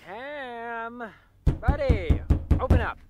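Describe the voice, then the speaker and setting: An actor playing a flippant, sleepless, hard-drinking showrunner who rants sarcastically in naturalistic audio drama dialogue. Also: male voice, very high voice, very slow, muffled recording, over loud music, full character voice. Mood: dry, flippant. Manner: sarcastic, theatrical ranting.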